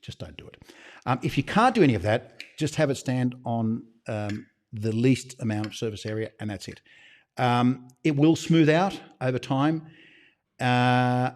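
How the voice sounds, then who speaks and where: An Australian man hosting a loose livestream Q&A for his shop. His voice sits in an ordinary middle pitch, and he wanders from point to point with conspiratorial little jokes. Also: unhurried. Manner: chatty, rambling, with conspiratorial joking asides. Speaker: shop owner hosting a live Q&A. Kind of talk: casual livestream Q&A.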